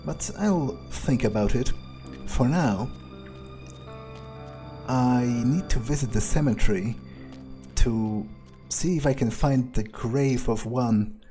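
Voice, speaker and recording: A man thinking aloud in character during a video game playthrough, halting and matter-of-fact, with casual swearing. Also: mid-range voice, mellow. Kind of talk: in-character musing aloud, thinking through events.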